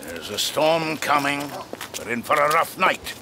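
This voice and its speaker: An actor playing a weary, wryly fatalistic male local policeman in an audio drama, with a deliberate delivery. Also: on the high side, sing-song, noisy background. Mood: grim. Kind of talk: full-cast audio drama acting.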